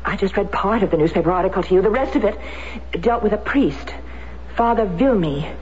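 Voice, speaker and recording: Actress doing a stubborn war widow in an old radio play, earnest n insistent, kinda muffled w some hiss. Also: female voice, mid-range voice, animated, fairly quick, darkish tone, lightly acted. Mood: determined. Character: determined American war widow seeking her husband's betrayer. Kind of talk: radio drama acting. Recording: dull, noisy vintage radio recording.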